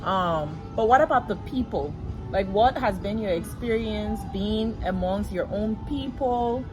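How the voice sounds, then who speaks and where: A woman hosting a casual interview, prompting her guest gently. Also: medium pitch, measured pace, rough recording. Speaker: host. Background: music.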